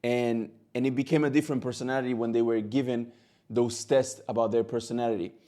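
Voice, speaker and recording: A man's voice: mid-range voice, steady tone, fast, dark tone. A man whose first language is Catalan, an Arabic teacher giving an earnest, direct talk to camera, now and then repeating a word as he goes.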